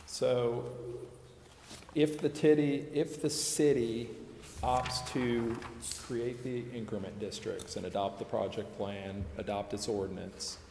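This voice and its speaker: A man's voice: medium pitch, steady tone, slow pace, mellow. A man explaining things at a public meeting as a consultant, hedging and repeating himself as he goes. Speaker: consultant presenting to a city council. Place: large indoor council chamber. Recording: echoey meeting hall with background noise.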